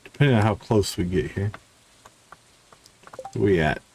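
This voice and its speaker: A man giving casual live video game commentary, chatting and thinking out loud. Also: on the low side, normal speed, a little hiss or hum, low-quality recording. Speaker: gaming streamer.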